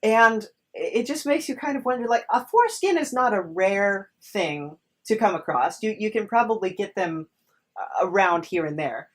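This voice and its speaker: A woman with a mid-pitched voice chats as a podcast host. She is wry and informal, often halting as she finds her way through a thought. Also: expressive. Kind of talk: casual humorous chat.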